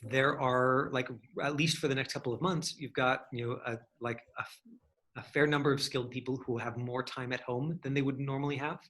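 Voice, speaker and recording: A man's voice: mid-range voice, fairly even intonation, a little fast, a bit bright. A man working as an acting coach, teaching and answering questions in a rambling, encouraging, conversational way. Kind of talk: casual online acting lesson with question and answer.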